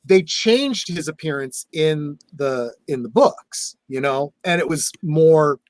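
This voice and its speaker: A man talking in a casual fan panel discussion, earnest and measured, often restarting his sentences. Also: a little high, animated, dry recording.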